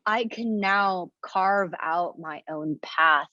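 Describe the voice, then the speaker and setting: A woman's voice: lowish voice, slow, pushed and bright, dry recording, muffled recording. A woman in her thirties from Indonesia, talking in a reflective, casual way with many fillers and restarts. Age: 30s. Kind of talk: casual conversation.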